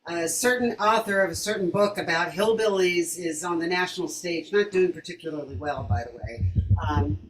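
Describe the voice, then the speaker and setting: A hoarse woman as a musical guest, chatting in an apologetic, chatty way before singing comic political songs on a video call. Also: lowish voice, moderate pace, far from the mic, slight background noise. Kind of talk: comic political song and chat. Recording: roomy home mic with music.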